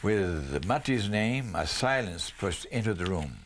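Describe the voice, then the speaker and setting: Male storybook reader, lower-pitched voice, narrating prose with occasional small stumbles. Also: animated, a little slow, a little hiss or hum. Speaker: audiobook reader.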